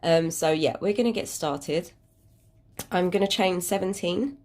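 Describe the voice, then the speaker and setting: A woman's voice: fairly low pitch, measured pace, a little mellow. A British woman with a congested, cold-affected voice, giving an informal craft tutorial in a hesitant, rambling, self-deprecating way. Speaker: crafting channel host.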